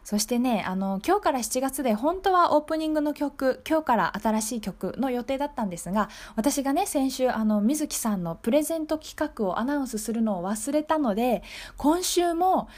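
A woman's voice: sits high, animated, fairly quick, few pauses, a little mellow. A woman presenting a radio programme as its host, speaking politely and conversationally and moving between scripted segments and her own remarks.

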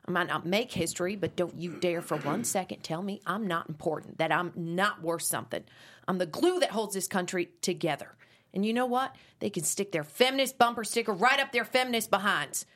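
An actress at a table read playing a proud conservative homemaker who calls a radio show, indignant and folksy. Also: female voice, lowish voice, brisk, a bit bright. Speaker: radio caller turned host.

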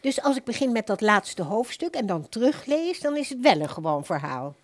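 A woman playing a simple, earnest customer in a radio play, plain-spoken, puzzled and gently persistent. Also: on the high side, big pitch swings, normal speed. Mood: confused. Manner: plain-spoken, questioning, insistent.

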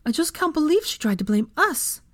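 Audiobook role: excitable, forceful young woman, quick to flare, lifted in pitch by a female narrator. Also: no room sound. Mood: indignant. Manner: forceful, indignant. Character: fiery, protective young wife, indignant and excitable.

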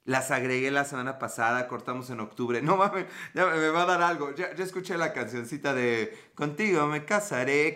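A man's voice: a little high, bright, forceful tone. A man in his thirties with a Mexican accent, chatting casually and joking with viewers as a podcast host. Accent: Mexican Spanish. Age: 30s. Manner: joking, profane, playful. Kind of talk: casual live-stream chat reading comments.

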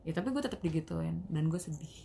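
A young woman with a Betawi-tinged Jakarta accent, co-hosting a casual podcast chat, lively and slangy, often laughing and dramatizing. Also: low-pitched, fast, vocal fry. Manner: animated, laughing, dramatic self-pity, slangy code-mixing. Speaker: podcast co-host.

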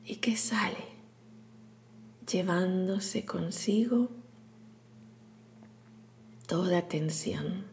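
Woman, guided meditation, hushed and breathy, lowish pitch, very unhurried, soothing, long pauses. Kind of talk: guided meditation, scripted narration.

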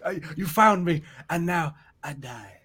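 A man's voice: on the high side, lively intonation, a little slow, over a noisy crowd. A man chatting casually as a podcast panelist, with a critical, unimpressed manner. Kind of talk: casual film watch-party chat.

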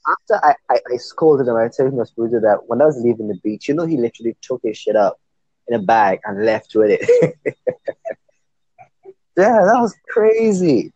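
Caribbean man, husky and lively, relaxed conversational storyteller, dry but slightly dull lo-fi audio. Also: mid-range voice, normal speed, no room sound. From Trinidad. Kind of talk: casual chat.

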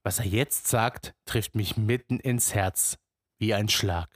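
A male storyteller narrating in the first person, unhurried and deliberate, musing as if living the scene. His voice sits in a mid register. The recording is dry and close, with no room sound. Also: lowish voice, dry recording.

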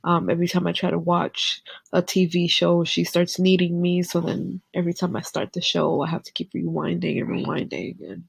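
A woman's voice: lowish voice, flat delivery, moderate pace, vocal fry, breathy edge, no echo. A young woman with a Caribbean accent co-hosting a casual podcast, congested from a head cold and talking in a candid, laid-back way. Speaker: podcast co-host. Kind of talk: casual chat.

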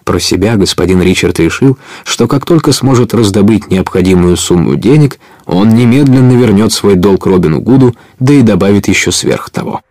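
A man narrating an audio play as a scripted adventure story, in an expressive storytelling manner. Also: fairly low pitch, moderate pace, few pauses, no room sound, studio quality.

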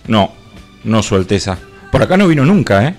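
An actor with an Argentine accent playing a deferential, excitable aide to a prince in a comic radio play. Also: male voice, on the low side, a little fast, faint music behind. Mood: excited, conspiratorial. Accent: Argentine, Rioplatense. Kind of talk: comic radio theatre parody.

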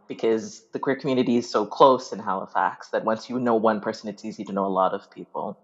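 A young woman from Uganda, speaking thoughtfully as a guest in a candid interview, often laughing mid-sentence. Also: a bass voice. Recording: dull lo-fi audio.

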